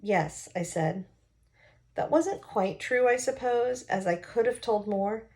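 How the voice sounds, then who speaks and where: A woman reading a novel aloud expressively, pausing now and then to explain it to listeners. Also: a little high, a little mellow.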